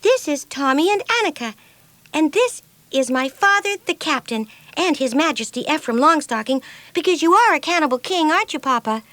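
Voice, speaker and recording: A woman reads the part of a wild, cheerful little girl in a storybook, high-pitched and bursting with eagerness. She exclaims everything, and the sound is dry and close. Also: animated, normal speed, fairly bright tone, no echo. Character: wild, cheerful, super-strong young girl. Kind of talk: storybook reading.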